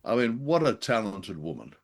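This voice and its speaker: A man's voice: fairly low pitch, big pitch swings, normal speed. A man co-hosting a podcast, chatting casually and enthusiastically about an old radio play with many fillers. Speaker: podcast co-host. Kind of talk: casual review chat.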